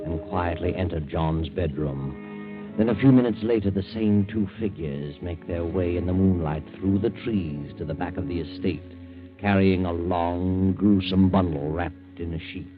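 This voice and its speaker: Sinister storyteller, 1940s radio mystery: very low, measured, ominous, over music, narrow vintage sound. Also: male voice. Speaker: narrator. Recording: muffled 1940s radio recording. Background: dramatic music.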